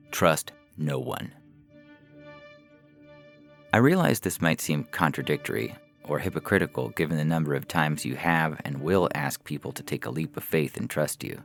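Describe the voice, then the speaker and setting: A man with an exceptionally deep voice narrates a short story. His tone crackles with gravelly fry, and he phrases deliberately, leaving pauses. Soft music runs faintly underneath. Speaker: story reader. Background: faint background music.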